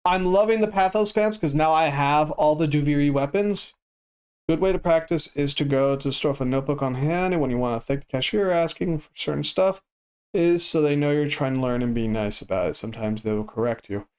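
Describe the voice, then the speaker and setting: A man streaming a game who chats casually in a flat, stoic way and swears freely. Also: on the high side, fairly quick, clear, resonant tone. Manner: monotone and stoic delivery. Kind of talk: casual chat while gaming.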